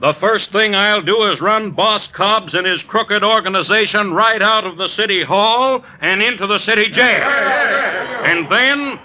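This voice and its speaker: An actor playing a bold reform candidate giving an emphatic, oratorical speech in a vintage radio drama. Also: male voice, sits high, a little slow, sharp tone, full character voice. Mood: fiery, righteous. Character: bold crusading male reform candidate on the stump. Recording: dull, noisy old radio recording.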